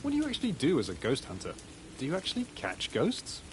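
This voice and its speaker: A man's voice: a little high, wildly swooping pitch, fast, background noise. A man voicing a mild, curious pig detective in a video game, with a hesitant, inquisitive way of talking. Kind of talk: video game character dialogue.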